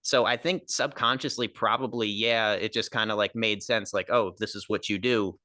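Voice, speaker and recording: A man's voice: on the low side, fairly even intonation, moderate pace, pushed and bright, dry recording, clean studio sound. A young man, a stand-up comedian, talking casually on a podcast in a nasal voice, rambling with lots of fillers. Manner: rambling, filler-heavy, laughs easily.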